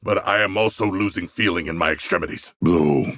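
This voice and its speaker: An actor playing a hulking, proud humanoid shark warrior in a video game, speaking in grandiose, formal language with a gravelly voice. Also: male voice, low voice, fairly quick, fairly bright tone, no room sound, clean studio sound. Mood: formal, thoughtful. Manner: grandiose, formal diction with precise, elevated wording. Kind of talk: video game character voice lines.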